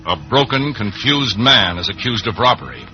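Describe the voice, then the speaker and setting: Old time radio narrator guy, lowish voice, dramatic, muffled w music behind. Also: big pitch swings, moderate pace. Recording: muffled vintage radio broadcast.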